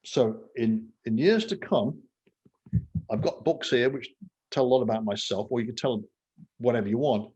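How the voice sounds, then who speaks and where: A man's voice: mid-range voice, normal speed, a little mellow, husky, rough recording. A man in his sixties from England, a podcast guest talking casually and rambling, with lots of fillers and self-mocking asides. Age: 60s. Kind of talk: casual interview talk.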